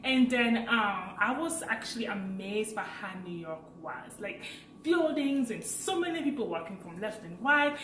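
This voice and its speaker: A woman in her late twenties from Africa, with a French accent, chatting casually as a vlogger and telling a personal story with lively, laughing energy. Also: fairly high pitch, measured pace, roomy sound, recorded from a distance, a little hiss or hum, low-quality recording. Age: late 20s. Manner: chatty and animated, laughs while telling stories.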